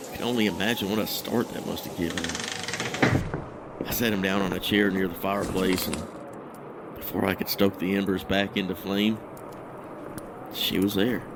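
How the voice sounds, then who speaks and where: An actor playing a troubled, guilt-ridden husband in an audio drama, recounting a memory in a halting, hesitant, brooding monologue. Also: male voice, on the low side, vocal fry, noisy background. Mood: brooding, regretful.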